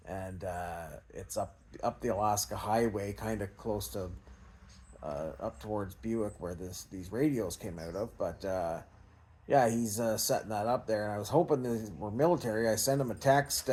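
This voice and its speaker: A man's voice: fairly low pitch, darkish tone, slight background noise. A Canadian man talking in a casual, unscripted vlog style, rambling and hesitant in a self-effacing way. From Canada, small-town Ontario. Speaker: scrap recycling vlogger.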